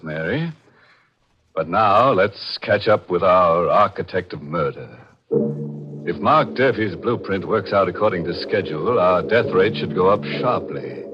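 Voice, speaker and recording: An actor playing a wry, ghoulish 1940s radio mystery host, full of mock sympathy and macabre humour. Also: male voice, low voice. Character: ghoulish wry mystery show host gloating over murders. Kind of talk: 1940s radio horror hosting. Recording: dull old 1940s radio recording, faint music.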